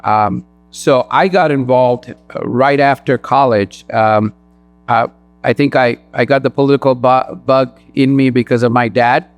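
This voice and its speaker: A man from Sri Lanka speaking as a guest at a mosque, earnest and in quick bursts. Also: medium pitch, steady tone, no room sound, faint music behind. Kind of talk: personal talk at a community event.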